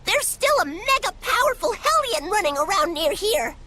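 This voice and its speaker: Squeaky excitable little critter spirit. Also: female voice, moderate pace, heavily acted. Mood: excited, rallying. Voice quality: squeaky, cartoonish. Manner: folksy, colloquial, exclamatory. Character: tiny cheerful male critter spirit, folksy and excitable. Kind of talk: animated game cutscene acting.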